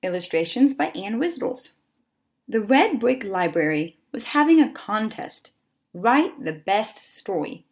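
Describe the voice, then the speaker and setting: Animated woman reading a children's story. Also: medium pitch. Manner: animated, deliberate phrasing with dramatic pauses. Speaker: read-aloud storyteller. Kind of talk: expressive children's picture book read-aloud.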